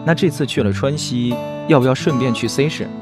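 A man's voice: medium pitch, fairly quick, over music, lightly acted. A man playing a devoted, eager young boyfriend in an audio drama, with a coaxing, doting manner. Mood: eager, earnest.

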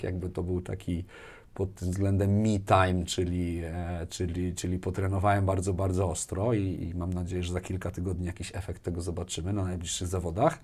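A man's voice: sits low, darkish tone, husky. A man in his forties speaking casually as an interview guest, often repeating phrases as he thinks aloud. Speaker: interview guest, business executive. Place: small furnished studio room with bookshelves, indoors. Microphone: lapel mic.

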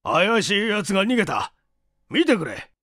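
Irascible old scientist, raised pitch. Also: male voice, big pitch swings, measured pace, fairly bright tone, no echo, clean studio sound, full character voice. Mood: urgent. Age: older. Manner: barking, old-fashioned elderly speech. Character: irascible old male scientist, gruff and bossy.

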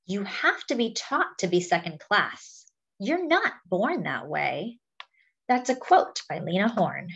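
A woman reading a children's picture book aloud as a story-time host, with deliberate phrasing for young listeners. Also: medium pitch, sing-song, gravelly, fairly bright tone, slightly rough, dull audio.

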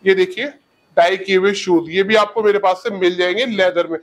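A young man from India in a shoe showroom, giving a fast sales pitch on a lapel mic. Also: steady tone. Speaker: shoe seller. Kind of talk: product showcase.

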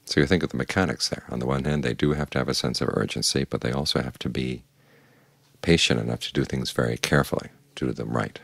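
An older man gives an evening meditation talk with calm, measured patience. His voice sits extremely low, with a gravelly, husky grain. The sound is close and dry, with no room around it. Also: no echo. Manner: calm, measured, plain-spoken teaching. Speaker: Buddhist monk giving a Dhamma talk.

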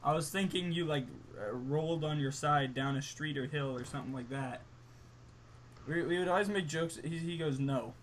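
A young man bantering casually and telling stories in an incredulous, animated way over an online game. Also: fairly high pitch, moderate pace, a little hiss or hum. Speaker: gaming story host.

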